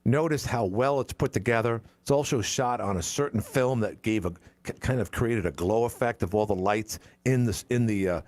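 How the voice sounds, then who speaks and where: A man's voice: medium pitch, moderate pace, hardly any pauses, darkish tone. A man of sixty, hosting a call-in radio talk show, opinionated and rambling, often breaking off and restarting his sentences. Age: 60s. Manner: rambling, opinionated, self-interrupting. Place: radio studio.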